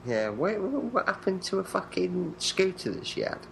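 A man's voice: sits high, big pitch swings, normal speed, gravelly, a little hiss or hum. A man co-hosting a comedy film podcast with laid-back dry quips. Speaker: podcast co-host. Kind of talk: crude comedic movie commentary.

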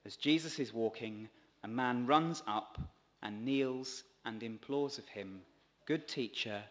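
A male priest gives a prepared sermon at a lectern microphone. His voice sits at a medium pitch, with careful, measured phrasing. Long reverb from a large stone church follows his words. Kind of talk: sermon read from a script. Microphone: gooseneck lectern microphone.

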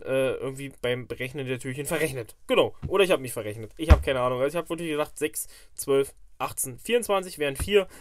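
A man's voice: medium pitch, slight background noise. A man hosting a casual fishing unboxing vlog, chatty and rambling as he thinks aloud, filmed indoors at a table on a camera mic. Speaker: fishing channel host.

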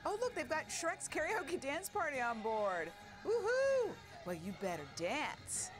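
A woman co-hosts a festive broadcast in a somewhat high, very animated voice. She gushes and exclaims as she commentates, with music clearly playing behind her. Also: unhurried. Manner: exclamatory, gushing. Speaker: parade broadcast co-host. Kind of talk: enthusiastic live event commentary.

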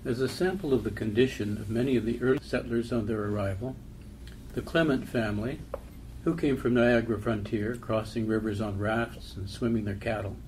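Mellow-voiced man reading poetry. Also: medium pitch, a little fast, a little hiss or hum, poor audio.

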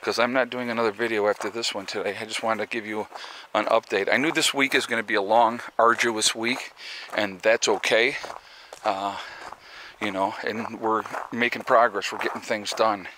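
A man with a Northeastern American accent gives a casual outdoor update, recorded on a handheld camera. His voice is bright and forceful, with a faint hiss of background noise. He rambles, with many fillers and restarts. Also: fairly low pitch, steady tone, normal speed, poor audio. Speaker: homesteading vlogger. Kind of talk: casual walk-and-talk vlog. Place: outdoors on a rural homestead.

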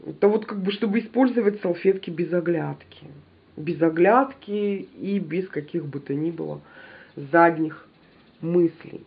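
A woman with a cold talks through a shopping haul, her voice husky and a little breathy with a blocked nose. The sound is dull and lo-fi, like a home camera mic. She chats animatedly and speaks straight to her viewers. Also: fairly low pitch, unhurried. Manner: chatty, animated, addresses viewers directly. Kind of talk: casual haul video chat to viewers.

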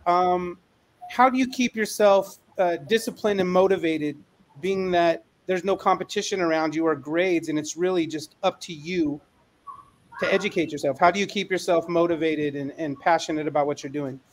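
A middle-aged man co-hosting a panel on a video call, speaking conversationally in an emphatic, deliberate way. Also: fairly high pitch, steady tone, slight background noise. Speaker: co-host, educator.

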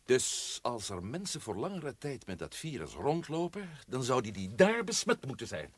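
A man playing an inquisitive investigator in an old radio play, asking brisk questions. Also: mid-range voice, sing-song, breathy edge. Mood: earnest. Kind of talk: 1950s-style radio drama acting.

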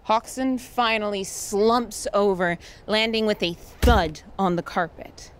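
A woman's voice: medium pitch, slow pace, clean tone. A woman host giving scripted dramatic narration of a story.

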